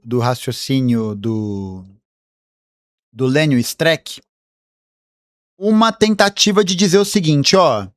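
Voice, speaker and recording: A Brazilian man delivers political commentary in a quick, argumentative, lecturing flow. His voice sits fairly high for a man. The sound is dry and close, with no room echo. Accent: Brazilian Portuguese. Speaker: host commenting on political video clips.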